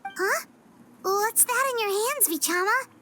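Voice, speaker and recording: A woman's voice: extremely high pitch, expressive, unhurried, sharp tone, a little hiss or hum, heavily acted. An actress voicing a small, earnest, concerned young girl in a video game, curious and questioning. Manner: wide-eyed, questioning.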